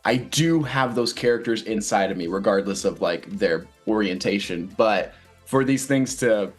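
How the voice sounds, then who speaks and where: A man's voice: on the low side, moderate pace, breathy edge, faint music behind. A man answering interview questions casually as a stage actor, earnest and thoughtful, often searching for his words.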